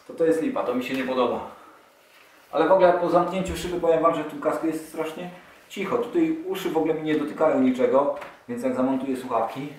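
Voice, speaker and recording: A man's voice: on the high side, quick pace, a little mellow. A man in his forties talking casually and enthusiastically as he unboxes motorcycle gear, thinking aloud while he handles it. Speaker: motorcycle vlogger. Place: small indoor room, seated at a table. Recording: echoey room, distant camera mic, audible handling noise.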